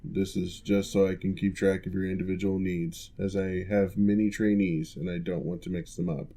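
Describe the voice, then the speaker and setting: A composed male orc, once a general and now a physical therapist, as a man plays him in an ASMR roleplay. His voice sits a little low and mellow. He speaks in a measured, formal, reassuring way.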